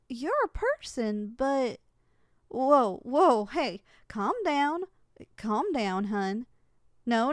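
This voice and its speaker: A woman's voice: sits high, no room sound, studio quality, full character voice. A woman playing a kind, soothing giantess in an ASMR roleplay. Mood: surprised, calming. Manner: soothing, folksy, gently reassuring. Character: kind, gentle young giantess homeowner comforting a tiny person.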